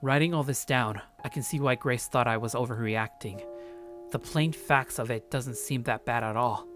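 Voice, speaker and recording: A man's voice: medium pitch, normal speed, fairly bright tone, soft background music, natural voice. A man playing a paranoid, sardonic young man narrating his journal in a visual novel, curt and brooding. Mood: brooding, bitter.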